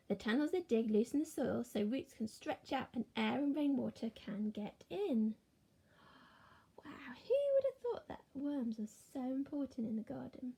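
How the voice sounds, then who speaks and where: A gentle woman teacher reading a storybook aloud to young children, slipping into soft whispered asides. Her voice sits a little high, with a gravelly, husky texture and a mellow, dark tone. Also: low-quality recording.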